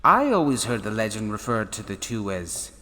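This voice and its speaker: An actor playing an eccentric, curious professor and space traveller in a sci-fi audio drama, speaking in a measured, musing, theatrical way. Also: male voice, on the low side, big pitch swings, sharp tone. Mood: absorbed, musing. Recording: long reverb, faint background noise.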